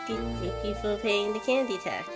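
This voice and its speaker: Slow-talking woman streaming a game over music. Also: medium pitch. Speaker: live streamer. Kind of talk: casual game stream commentary.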